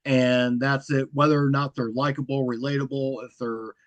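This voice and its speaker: A man talks as a podcast guest in an easygoing, level delivery that he calls monotone. His voice sits at a middling pitch. The sound is close and dry, with no room around it. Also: moderate pace, dry recording. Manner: self-described monotone, even delivery. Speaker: guest, horror content creator. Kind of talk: casual interview chat.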